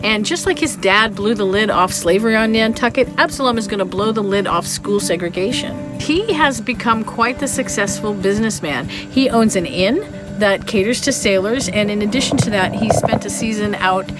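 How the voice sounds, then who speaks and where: Woman vlogger chatting through some history, wry little asides, clear music underneath. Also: medium pitch, moderate pace, low-quality recording. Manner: conversational, wry, with playful asides. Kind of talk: casual, chatty history narration to viewers.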